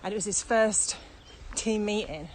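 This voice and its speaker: A woman's voice: medium pitch, a little slow, a little mellow. A woman with a British accent co-hosting a podcast in casual, questioning conversation while out walking. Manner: questioning, acts out quotes. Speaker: podcast co-host and trainer. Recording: noisy walking recording with ambient sound.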